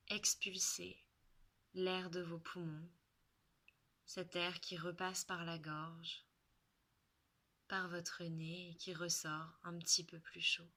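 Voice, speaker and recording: A woman guides a relaxation at a very slow, soothing pace, leaving long pauses. Her voice sits slightly low yet is clearly bright, with soft, breathy endings to her phrases.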